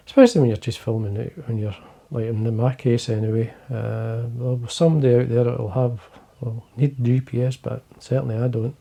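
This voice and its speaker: An older Scottish man with a low, quiet voice, gravelly and mellow in tone. He chats casually to the camera from his desk, wandering through his points with plenty of fillers. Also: little pitch movement, brisk, hardly any pauses. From Scotland. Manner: quiet, rambling, many fillers and restarts. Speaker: fly-fishing video host. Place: indoors, fly-tying desk.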